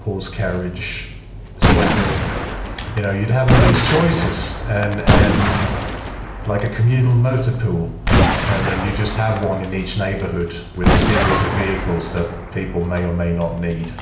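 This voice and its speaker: A man's voice: sits low, fairly even intonation, measured pace. A man with a British accent giving casual, rambling gameplay commentary with dry, self-mocking asides. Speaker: gaming channel host. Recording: echoey room, distant mic, noisy.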